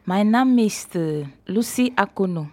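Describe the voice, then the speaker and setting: A woman from Cameroon, a radio guest introducing herself slowly in learner German, halting with fillers. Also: medium pitch, expressive, warm tone, dry recording. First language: Ewondo. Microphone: studio mic.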